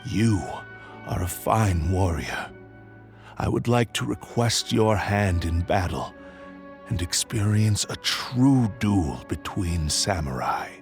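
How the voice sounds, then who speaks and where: A man's voice: low-pitched, expressive, slow pace, faint music behind, exaggerated voice. A man playing the proud, solemn spirit of a cursed samurai sword in a video game, speaking in formal, archaic phrases. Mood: solemn, resolute. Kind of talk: dramatic video game voice acting.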